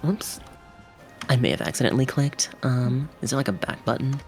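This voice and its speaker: A streamer reading a visual novel game aloud in a lively narrating style. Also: medium pitch, moderate pace, faint music behind.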